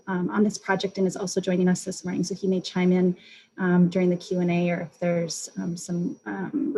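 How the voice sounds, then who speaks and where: American lady hosting a webinar, fry in her voice, pretty flat, lots of ums. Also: mid-range voice, rough recording. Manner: halting, frequent ums. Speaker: webinar host, extension educator. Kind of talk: webinar introduction. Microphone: online video call. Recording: faint background noise.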